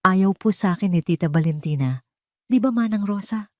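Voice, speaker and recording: A woman voicing a timid, sad little boy, soft, hesitant and polite, in a storybook drama. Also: mid-range voice, moderate pace, no echo, clean studio sound, lightly acted.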